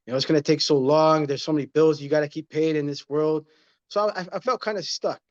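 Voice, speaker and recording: A man in his thirties with a slightly high voice, speaking earnestly and circling back to his points like a motivational speaker. The recording sounds a bit dull and muffled, as if through a modest mic. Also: steady tone, brisk. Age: 30s. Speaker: guest, inspirational speaker. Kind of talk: casual interview conversation.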